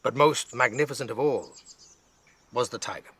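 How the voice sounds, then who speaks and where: A man voicing a playful, kindly old grandmother in a storybook, speaking with a gentle lilt. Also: mid-range voice, normal speed, husky. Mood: gently teasing.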